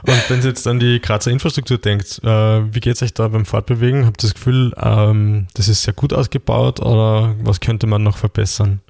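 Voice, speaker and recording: An Austrian man with a gravelly, creaky voice leads a relaxed interview. He asks his questions in a friendly way, pausing now and then on fillers. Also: fairly low pitch. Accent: Austrian German. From Graz, Austria. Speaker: interviewer, podcast host.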